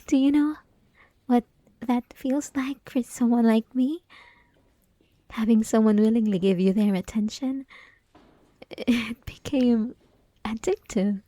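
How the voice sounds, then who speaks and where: Woman acting a soft, high-voiced clingy yandere schoolgirl. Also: dry recording. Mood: wistful, infatuated. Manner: coy, teasing, pleading, halting, often dropping to whispers. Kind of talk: ASMR roleplay, intimate scripted monologue.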